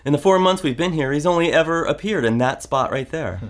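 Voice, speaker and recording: Actor as a breezy, lively-voiced male roommate. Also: on the high side, a little fast, close to an everyday voice. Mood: reassuring. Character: breezy, teasing male roommate, unfazed by ghosts. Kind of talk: audio drama dialogue.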